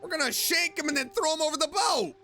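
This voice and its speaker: A woman's voice: sits high, fast, over music. A woman giving casual playthrough commentary as a streamer, reacting in a joking, mock-tough way.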